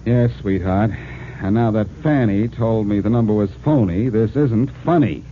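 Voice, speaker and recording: Actor as low-voiced, wisecracking amateur sleuth. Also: male voice, normal speed, close to an everyday voice. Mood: polite, thwarted. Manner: breezy, sardonic, flirtatious. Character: cocky, wisecracking amateur detective. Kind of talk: 1940s radio acting. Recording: tinny, hissy old radio recording.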